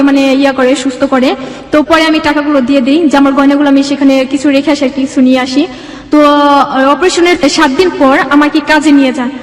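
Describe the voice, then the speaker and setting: A young woman from Bangladesh telling her life story as an interview guest, rambling and quoting dialogue as she goes, in a reverberant room. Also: high voice. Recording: echoey hall-like reverb.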